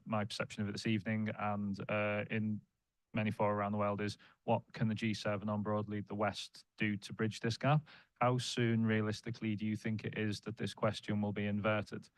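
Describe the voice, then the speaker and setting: A man in the audience, a civil servant, asking the panel a measured, formal question. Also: on the low side, completely flat delivery, no echo, low-quality recording.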